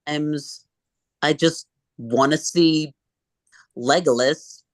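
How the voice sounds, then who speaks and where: A woman's voice: sits low, takes it slowly, no echo. A woman guest in a casual interview, speaking in an easygoing way.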